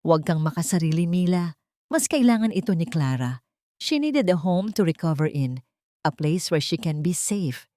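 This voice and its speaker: Woman reading as selfish cheating husband in an audio drama, cold and dismissive, lowish, dry sound. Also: sing-song, normal speed, mellow, no echo.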